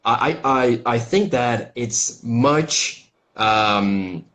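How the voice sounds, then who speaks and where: A young adult man from Brazil with a Brazilian accent, an English teacher talking casually over a video call, halting with lots of fillers and restarts. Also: medium pitch, animated, a bit bright. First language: Portuguese. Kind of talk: casual interview chat.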